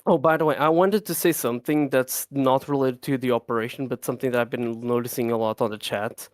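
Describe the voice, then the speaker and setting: A man co-hosting a casual livestream Q&A for his game, talking in an excitable rush, repeating words and laughing often. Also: mid-range voice, a little fast, no echo, studio quality. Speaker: game developer co-host.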